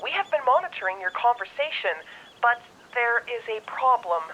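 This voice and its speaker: A woman playing a calm, formal spaceship crew companion in a sci-fi audio drama, speaking precisely through a radio communicator effect. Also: fairly high pitch, normal speed, lo-fi sound. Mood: calm, concerned.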